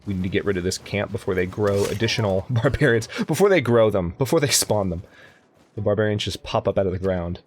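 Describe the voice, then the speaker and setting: A man's voice: lowish voice, fast talker, a little hiss or hum. Chatty man thinking aloud over gameplay. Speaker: let's play host. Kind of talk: casual gameplay commentary. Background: faint game music.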